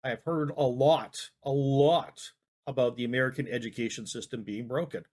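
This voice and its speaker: A man's voice: mid-range voice, fairly even intonation, moderate pace, a little mellow. A man giving casual reaction commentary, rambling and hedging with frequent restarts. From Canada. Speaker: YouTube commentator.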